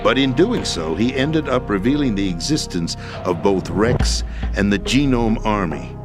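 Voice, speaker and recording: Grave, low-voiced deposed president. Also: male voice, expressive, music in the background. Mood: grave, measured. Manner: measured, formal, deliberate explaining with weighty pauses. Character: weary deposed president, grave, formal political schemer. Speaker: video game character in a cutscene. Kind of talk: dramatic expository video game acting.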